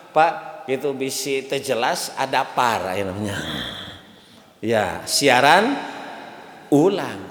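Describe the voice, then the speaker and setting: A man with a Sundanese accent giving a lively, joking mosque lesson, chanting Arabic verses slowly between his explanations. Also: fairly high pitch. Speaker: ustadz teaching a mosque study class. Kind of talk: sermon. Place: large mosque hall. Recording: echoey mosque hall.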